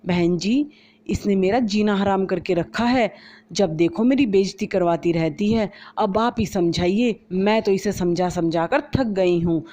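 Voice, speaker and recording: A woman's voice: mid-range voice, measured pace, mellow, close to an everyday voice. A woman voicing an exasperated, scolding middle-aged mother-in-law in a storybook. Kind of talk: storybook voice acting. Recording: slightly dull lo-fi recording.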